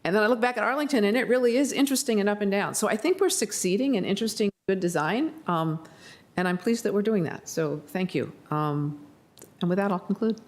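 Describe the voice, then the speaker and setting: An American woman on a county board, giving hesitant remarks at a public hearing, her voice gravelly, often clearing her throat with many ums. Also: medium pitch, lively intonation, brisk, darkish tone.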